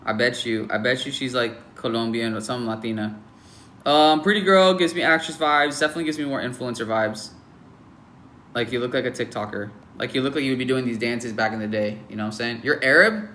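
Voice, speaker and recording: A man's voice: medium pitch, fairly even intonation, a little fast, pushed and bright, slight background noise. A young man hosting a casual livestream, giving blunt, offhand verdicts on viewers' photos with lots of fillers and teasing jokes at the chat. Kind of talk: casual live-stream chat.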